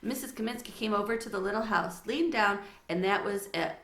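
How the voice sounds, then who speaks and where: A woman narrating a children's story aloud in an expressive read-aloud style. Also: mid-range voice, fairly quick, reverberant.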